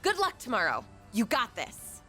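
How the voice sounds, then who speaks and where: An actress playing a peppy, encouraging teenage team manager in a video game, bubbly and enthusiastic. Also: female voice, fairly high pitch, huge pitch swings, normal speed, pushed and bright, faint music behind, exaggerated voice. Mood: upbeat.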